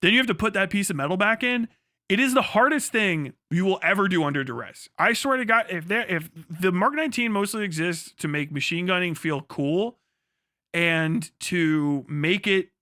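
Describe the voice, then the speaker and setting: A man livestreaming in a casual, crude, profanity-laced storytelling style as he explains things in an animated way. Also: sits high, moderate pace, no echo, clean studio sound. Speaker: livestream host.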